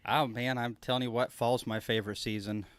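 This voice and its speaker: A man's voice: mid-range voice, flat delivery, a little fast, a bit bright, smooth, no echo. A man chatting casually as a guest, folksy and given to joking. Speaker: conference speaker, livestream guest. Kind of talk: casual chat.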